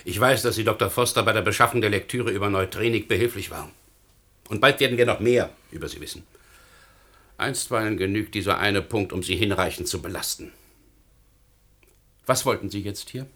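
Cold, authoritative state official for radio drama; low-pitched, formal, measured delivery. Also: male voice, fairly bright tone. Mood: stern. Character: cold, controlling government official enforcing suppression of research. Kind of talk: German radio play acting.

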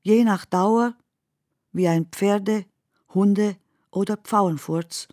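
A woman performing as the narrator of surreal, dreamlike poetic prose in a radio play, speaking in a measured, deliberate manner. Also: medium pitch, a little mellow, no echo, clean studio sound.

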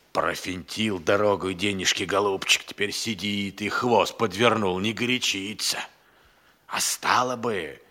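An actor playing a hungry, idle, crafty manservant in an audio play, grumbling and sighing in folksy, speech. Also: male voice, mid-range voice, vocal fry, fairly bright tone, heavily acted. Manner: folksy, drawling, sighing, grumbling, relishing his words. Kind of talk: theatrical audio-play monologue.

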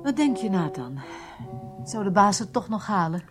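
A woman's voice: medium pitch, sing-song, moderate pace, over loud music, lightly acted. An actress playing a concerned, persistent intelligence colleague in a radio drama, conversational and ready to argue her point. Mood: wondering. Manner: conversational, argumentative.

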